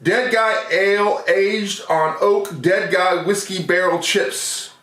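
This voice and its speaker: A man's voice: sits high, fairly even intonation, slow pace, a bit bright. A man co-hosting a podcast, chatting casually and jokily in a rambling way over a beer tasting. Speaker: podcast co-host. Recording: roomy, distant mic, clear background noise.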